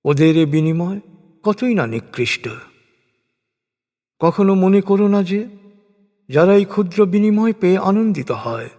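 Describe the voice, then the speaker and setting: A man reading a scripture translation as a solemn scripted recitation, measured and declamatory, with deliberate pauses between phrases. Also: on the high side, darkish tone. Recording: long cavernous reverb.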